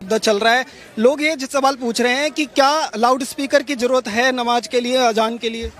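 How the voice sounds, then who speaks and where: A man's voice: extremely high pitch, fairly even intonation, normal speed, a bit bright. A man putting questions as an interviewing reporter.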